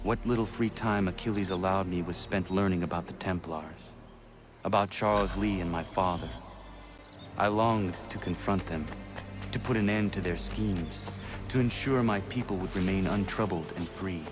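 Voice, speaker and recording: An actor playing a serious young apprentice in a video game, earnest and blunt, with formal phrasing. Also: male voice, low voice, moderate pace, over music, natural voice. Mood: solemn, determined. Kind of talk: video game character acting.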